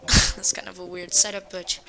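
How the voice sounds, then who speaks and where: A woman's voice: on the low side, level tone, a little slow, noisy background. A young woman hosting casual gameplay commentary, talking to viewers in a self-deprecating way. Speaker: gaming video host.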